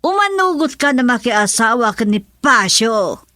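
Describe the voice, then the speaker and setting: High-pitched, insistent Ilocano mother. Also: sing-song, a little slow, sharp tone, no echo, studio quality, heavily acted. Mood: nagging, insistent. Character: insistent middle-aged mother pushing her daughter to marry. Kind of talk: radio drama acting.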